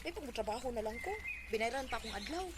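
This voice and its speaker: A woman's voice: a little high, lively intonation, racing, close to an everyday voice. An actress playing a hardworking, trusting young woman, fresh out of college, in a Cebuano Filipino radio drama. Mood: determined, earnest. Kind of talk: Bisaya radio drama acting. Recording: very noisy radio drama recording.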